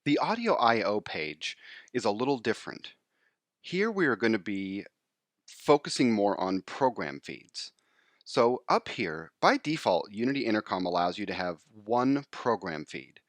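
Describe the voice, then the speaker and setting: A man explaining software step by step in an informal screencast tutorial voice-over. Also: on the low side, big pitch swings, unhurried, no room sound, clean studio sound.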